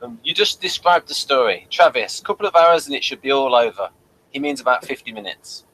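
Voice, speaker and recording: Bright-voiced man in casual review chat. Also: medium pitch, animated, fairly quick, low-quality recording. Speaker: review show host. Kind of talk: casual review chat, partly reading aloud.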